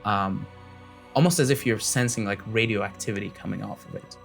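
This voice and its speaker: A man's voice: on the low side, fairly quick, vocal fry, a bit bright, soft background music. A man working as game master, improvising tabletop narration in a casual, conversational way.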